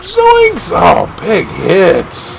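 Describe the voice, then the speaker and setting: A man streaming a video game live, giving excitable play-by-play with shouted catchphrases. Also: sits high, very slow, creaky, a little hiss or hum. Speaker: gaming streamer. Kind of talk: live gameplay commentary.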